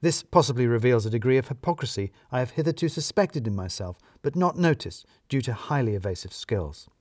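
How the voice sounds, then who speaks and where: Male narrator for comic fiction: mid-pitched, very expressive intonation, wry and self-deprecating, close dry sound. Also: no echo. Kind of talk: scripted first-person comic story narration.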